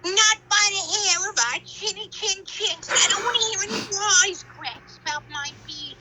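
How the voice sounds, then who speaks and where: A woman's voice: very high voice, moderate pace, very noisy. A woman playing a sassy, defiant little pig in a comic children's story, with a slangy way of refusing. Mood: wary, defiant.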